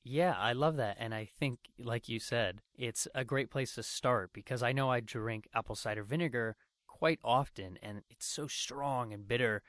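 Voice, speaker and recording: A man's voice: mid-range voice, moderate pace, hardly any pauses, a bit bright, no room sound, clean studio sound. A man interviewing a guest, affirming her answers and leading into questions with frequent fillers. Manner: affirming, with frequent "you know" and "I love that". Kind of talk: interview chat.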